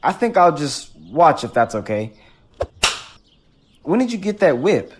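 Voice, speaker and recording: A man's voice: a little high, very animated, measured pace, very noisy. An actor playing a nervous, deferential boy underling who stammers in awe of his master, in an audio drama. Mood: eager, nervous.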